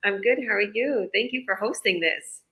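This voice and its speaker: A woman's voice: medium pitch, moderate pace, bright, forceful tone. A woman business coach from British Columbia answering interview questions in a casual, chatty way, explaining eagerly. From British Columbia, Canada. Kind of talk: live online interview answers.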